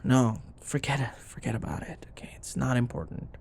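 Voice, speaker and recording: A man acting a teasing, flustered young office rival in an intimate ASMR boyfriend roleplay, halting and sometimes dropping into breathy murmurs and sighs. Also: medium pitch, a little mellow, slight background noise, close to an everyday voice.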